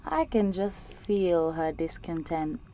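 A woman's voice: on the low side, slow pace, a little hiss or hum. A woman playing an anxious, wry young woman in an audio drama monologue, speaking candidly and conversationally in a London British accent. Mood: reflective, uneasy. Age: young adult. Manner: candid, self-mocking, sweary, animated.

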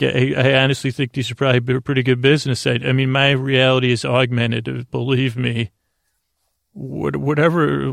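A man with a heavily creaky, fry-laden voice rambles through an absurd bedtime monologue as a sleep podcast host. His pitch stays fairly even while he stammers, halts and drifts off into tangents. The sound is close and dry. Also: dry recording. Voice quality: gravelly, creaky. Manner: meandering, halting, stammering, trailing off into tangents.

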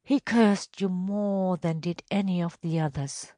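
A woman voicing a reluctant, deferential male village elder in a storybook reading, reporting in a measured, hesitant way. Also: on the low side, steady tone, mellow, dry recording, clean studio sound, voice pushed up, close to an everyday voice. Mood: uneasy.

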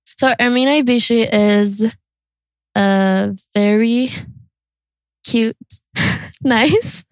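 A woman's voice: a little high, drawn-out words, pauses often, no room sound. A 22-year-old woman with an Albanian-Swedish accent, chatting informally and a bit giggly as a podcast co-host. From born in Kosovo, raised in Sweden. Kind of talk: casual podcast chat.

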